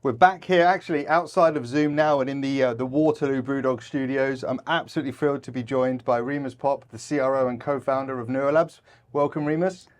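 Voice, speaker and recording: A man's voice: medium pitch, fairly even intonation, brisk. A man hosting a podcast interview in a brewery studio, steering with short affirming prompts. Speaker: podcast host.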